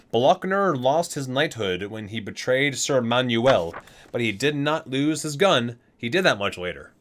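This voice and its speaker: A man's voice: mid-range voice, fairly bright tone. A man hosting an ASMR video, reading aloud and dropping in casual asides, savouring the titles as he goes.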